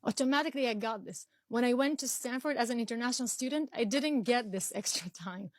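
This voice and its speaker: A woman's voice: a little high, a little fast, no room sound. A young woman from Israel whose first language is Arabic, answering interview questions earnestly with frequent restarts and fillers. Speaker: interview guest.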